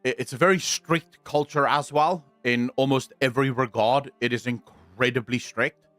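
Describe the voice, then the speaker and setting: A young man with a South African accent chatting on a livestream, answering viewers in a deliberate, blunt way, repeating his points and swearing now and then. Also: medium pitch, steady tone, fairly bright tone, dry recording. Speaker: streamer.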